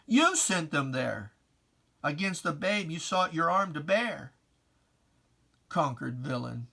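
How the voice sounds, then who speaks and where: Slow, dramatic, solemn poetry recitation. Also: mid-range voice, sharp tone. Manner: solemn, theatrical, declamatory, with weighty pauses. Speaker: reader of poetry. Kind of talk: dramatic recitation of religious rhyming poem.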